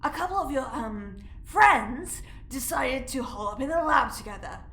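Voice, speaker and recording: A woman's voice: high-pitched, animated, moderate pace, a bit bright. A woman playing a curt, grudging prison guard in an audio drama, grumbling and easily flustered. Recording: echoey room.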